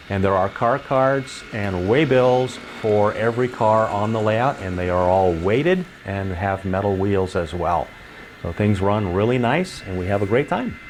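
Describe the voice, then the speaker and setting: A man's voice: on the low side, slight background noise. A man hosting a casual, explanatory tour of a hobby layout. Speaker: host giving a tour of a model railroad layout.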